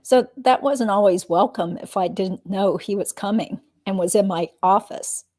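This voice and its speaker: A woman's voice: mid-range voice, normal speed, a little mellow, natural voice. A woman playing a guarded witness who gives careful, noncommittal answers in a reading of a legal deposition. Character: guarded, measured woman witness under questioning.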